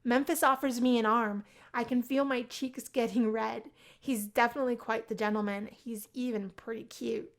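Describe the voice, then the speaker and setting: A woman hosting a let's play, reading a visual novel aloud as narrator in a lively, expressive way. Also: on the high side, moderate pace, few pauses.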